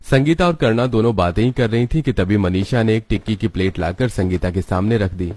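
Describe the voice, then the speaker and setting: A man with a low voice narrates a children's cartoon tale. He reads from a script in a storybook style, as the narrator of the story. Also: a little fast, darkish tone.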